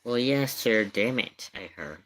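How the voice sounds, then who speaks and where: A man's voice: medium pitch, lively intonation, a little slow, fairly bright tone. A man giving casual live commentary in an emphatic, questioning way, often repeating his points. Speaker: streamer reacting to paranormal investigation video.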